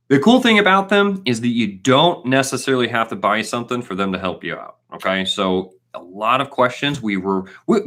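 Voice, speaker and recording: A man in his thirties with a fairly low voice chats informally as co-host of a live Q&A. His intonation is very animated, rising and falling widely, and he stresses his points. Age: 30s. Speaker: co-host of a trade channel. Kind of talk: casual live Q&A chat.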